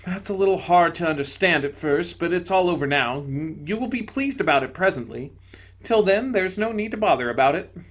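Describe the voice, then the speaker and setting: A man voicing a serene, gentle spirit. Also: on the high side, a little fast, pitched above the performer's own voice. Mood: reassuring. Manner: gentle, patient, calm reassurance. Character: serene radiant male spirit in a robe.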